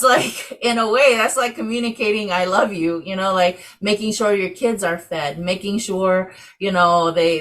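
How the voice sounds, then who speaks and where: A woman's voice: medium pitch, fairly bright tone. A woman from Samoa leading a casual class discussion over a video call, chatty, with many fillers. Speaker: class facilitator.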